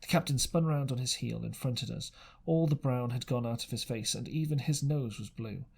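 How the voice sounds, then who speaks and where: A man narrating an adventure audiobook as an amateur reader, dramatic in style but halting, stumbling over phrases and rereading them. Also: mid-range voice, vocal fry, slightly rough.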